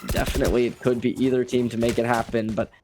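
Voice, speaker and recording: A man's voice: medium pitch, flat delivery, fast talker, a little mellow, faint music behind. A man giving live play-by-play commentary on an esports match. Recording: gunfire and game noise behind voice. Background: video game gunshots and clicks.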